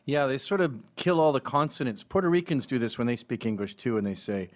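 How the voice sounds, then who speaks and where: A man's voice: medium pitch, expressive, fairly quick. A man hosting a casual chat, teasing and provocative, slipping into impressions. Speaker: host.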